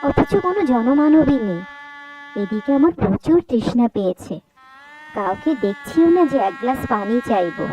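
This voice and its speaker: A woman's voice: a little high, lively intonation, normal speed, noisy background, close to an everyday voice. A woman playing an anxious, lonely young bride in a cartoon story, hesitant and plaintive. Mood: uneasy, puzzled. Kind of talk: Bengali cartoon story dialogue.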